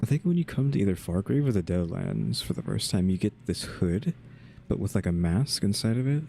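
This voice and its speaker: Deep, creaky, laid-back male gamer. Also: very animated, a little fast, dark tone, a little hiss or hum. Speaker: gamer, video creator. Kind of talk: casual gameplay commentary.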